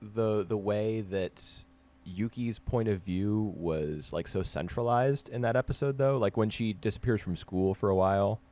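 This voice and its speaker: A man's voice: fairly low pitch, measured pace, mellow. A man co-hosting a podcast, chatting casually and analytically with fillers and restarts. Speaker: podcast co-host. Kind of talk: casual anime discussion.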